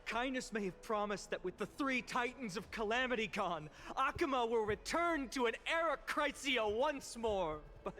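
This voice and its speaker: A man's voice: extremely high pitch, sharp tone, heavily acted. A man playing an impassioned young orator in a video game, addressing an assembly with emphatic, eloquent, theatrical rhetoric. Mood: grave, urgent. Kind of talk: dramatic theatrical game voice acting, public oration.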